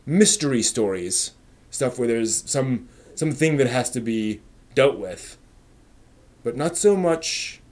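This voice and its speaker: A man hosting a casual live stream, chatting and thinking aloud in a halting way, often trailing off as he answers viewers. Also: mid-range voice, darkish tone.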